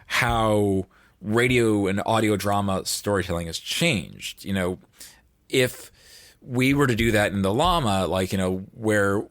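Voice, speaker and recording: Low-voiced man thinking aloud on a podcast. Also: a little slow, a bit bright, no echo. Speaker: audio drama producer, guest. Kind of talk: casual podcast conversation.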